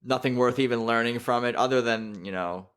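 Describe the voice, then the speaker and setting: A man hosting a podcast interview, asking loose, rambling questions in a relaxed way. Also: medium pitch, a little fast, clean tone. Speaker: podcast host. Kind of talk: casual interview.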